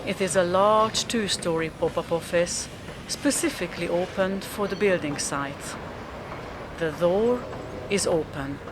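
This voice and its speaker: A woman's voice: on the low side, a little slow, noisy background. A woman reading scripted, descriptive narration for a radio drama.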